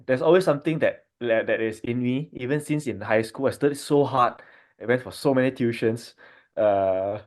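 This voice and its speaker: A man from Malaysia talks through a big decision in an easy family chat. He thinks aloud, full of "you know" fillers and restarts, in a voice of ordinary middle pitch. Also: moderate pace. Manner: thoughtful, many fillers and restarts. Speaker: podcast co-host, doctor.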